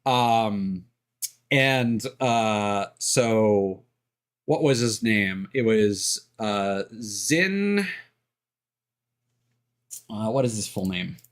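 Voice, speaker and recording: Male streamer, unhurried, filler-heavy casual commentary at a desk mic. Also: on the low side, animated. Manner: rambling, many fillers.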